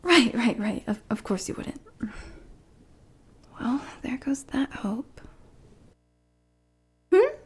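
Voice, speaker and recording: A woman's voice: on the high side, animated, slow, pauses often, breathy edge, heavily acted. A woman playing a dorky, lovestruck bodyguard in an ASMR roleplay, bashful and stammering, muttering asides as she clumsily hides her obsession. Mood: flustered, stammering.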